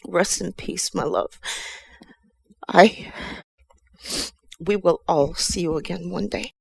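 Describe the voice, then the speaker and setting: A middle-aged woman from Chicago with an American accent, giving a halting, emotional spoken tribute to a friend. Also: lowish voice, vocal fry, a little mellow, slightly rough, no room sound. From Chicago, USA. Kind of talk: heartfelt spoken tribute, personal reminiscence.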